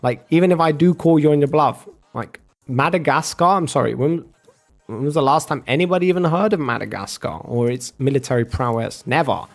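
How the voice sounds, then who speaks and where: A man's voice: fairly high pitch, brisk. A man in a casual argumentative rant, sarcastic and sweary, calling the listener bro. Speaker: streamer arguing with a chat viewer.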